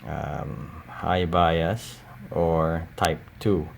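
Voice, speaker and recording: A man giving a casual, unscripted show-and-tell in a hesitant, halting way. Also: low voice, gravelly. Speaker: collector showing cassette tapes. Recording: audible steady background noise.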